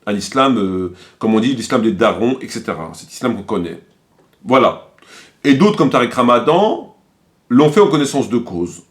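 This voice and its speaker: A man with a mid-pitched voice delivers unscripted commentary on religion and politics. He explains things step by step in a polemical, lecturing manner. His delivery is halting, with words repeated as he looks for the next phrase. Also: big pitch swings.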